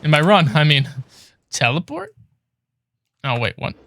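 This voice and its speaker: A man's voice: on the high side, huge pitch swings, a little slow, creaky, fairly bright tone. A man giving casual live gameplay commentary as a streamer, with a playful, wry way of talking. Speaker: game streamer. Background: faint game music.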